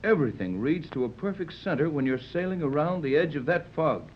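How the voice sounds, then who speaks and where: An actor playing a steady, plain-spoken yacht captain in a 1930s radio adventure serial. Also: male voice, fairly high pitch, slight background noise, natural voice. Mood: grave, explaining. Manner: measured, plain-spoken, reluctant to alarm. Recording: muffled old 1930s radio recording.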